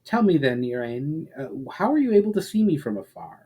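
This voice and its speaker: Storybook elf traveler, male man acting: polite, inquisitive, earnest, formal, pitched up from the reader's natural voice. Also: big pitch swings, fairly quick, dark tone, slightly rough. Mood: curious, polite. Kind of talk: dramatic storybook reading.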